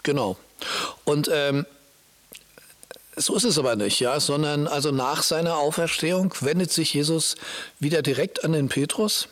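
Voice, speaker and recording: A man with a somewhat high-pitched voice explains Bible stories in a casual conversation. His delivery is informal and halting, often circling back as he searches for the right words. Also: fairly bright tone.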